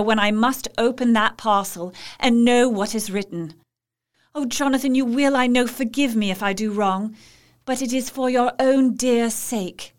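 Casting: actress as tender, anxious young English wife, fairly high voice, earnest diary narration for radio drama. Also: female voice, lightly acted. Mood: worried resolve.

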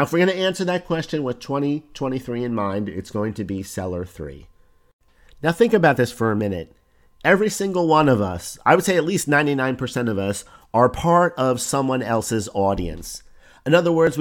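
Hoarse guy doing a solo podcast, chatty, walks you through examples, middle pitch. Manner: conversational, explaining with examples. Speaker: podcast host. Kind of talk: solo podcast talk on online business.

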